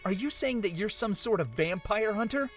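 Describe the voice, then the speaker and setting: Visual novel role: rattled young male student, voiced by a man, high, lively and halting, music underneath. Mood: panicked. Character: frightened, disbelieving young male university student. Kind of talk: visual novel character reading.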